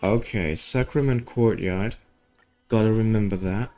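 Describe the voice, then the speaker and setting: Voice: mid-range voice, measured pace. Casual gamer commenting over background music. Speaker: gaming streamer. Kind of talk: casual game playthrough commentary, reading dialogue aloud.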